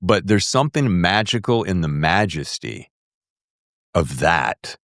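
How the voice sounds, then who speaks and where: A man's voice: low-pitched, sing-song, a little slow, a bit bright, dry recording, studio quality. A man hosting a casual book interview, musing aloud and rephrasing his questions as he goes. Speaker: podcast host interviewer.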